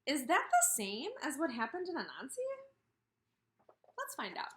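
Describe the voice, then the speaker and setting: A woman in her thirties teaching and reading aloud to kindergarteners, expressive, with questions and emphatic pauses. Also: sits high, slight background noise. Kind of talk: instructional read-aloud for young children. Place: indoors, room with a brick wall.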